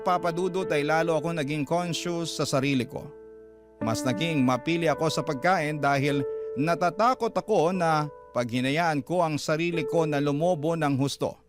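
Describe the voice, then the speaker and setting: A Filipino man hosting a radio drama, reading a listener's letter as dramatic scripted narration. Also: on the high side. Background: music behind the voice.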